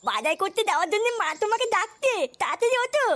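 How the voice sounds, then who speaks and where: Woman as a squeaky, nagging little boy. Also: sing-song, fast, pushed and bright, heavily acted. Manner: nagging, childlike. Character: cheeky little village boy pestering his father. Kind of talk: comic cartoon dialogue.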